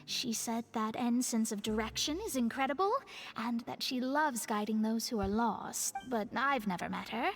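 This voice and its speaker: A woman's voice: a little high, normal speed. A woman playing an earnest, proud young female astrologer mage in a video game, speaking articulately and formally. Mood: wry, informative. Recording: faint music behind the voice.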